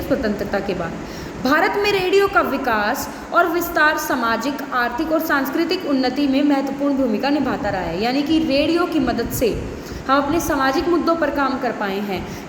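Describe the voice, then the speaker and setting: A woman giving an educational lecture, reading out notes and then explaining them with frequent restatements and check-in tags. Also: high voice. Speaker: teacher. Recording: echoey reverberant room with background hiss.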